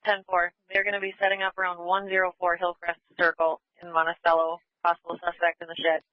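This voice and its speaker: A woman's voice: fairly low pitch, monotone, fast, dry recording. A woman working as an emergency dispatcher, speaking procedurally over police radio. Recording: muffled police radio transmission.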